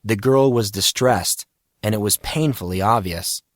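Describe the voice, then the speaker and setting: A man's voice: on the low side, measured pace, no echo, clean studio sound. A man reading scripted story narration as an audiobook narrator.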